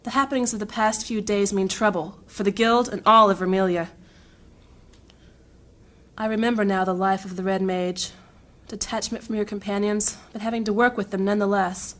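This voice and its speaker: A woman playing a guarded, grave noble mage in a fantasy audio drama, speaking formally and deliberately. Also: medium pitch, fairly even intonation, fairly bright tone, lightly acted. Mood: brooding.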